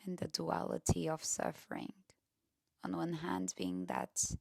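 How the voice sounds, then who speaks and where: Female meditation guide: lowish, very gravelly fry, gentle and hushed delivery, recorded close and dry. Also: sing-song, a little slow, no echo.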